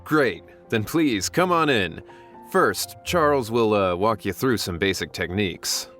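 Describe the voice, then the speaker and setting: An actor playing a polite, welcoming tavern manager making a persuasive offer. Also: male voice, fairly low pitch, expressive, measured pace, a bit bright, soft background music. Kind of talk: game cutscene voice acting.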